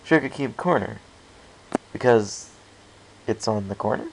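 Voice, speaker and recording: A man's voice: on the low side, lively intonation, unhurried, creaky, slight background noise, poor audio. A man voicing an awkward, hesitant and polite pony new in town, in an audiobook story. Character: awkward, polite pony newcomer seeking directions.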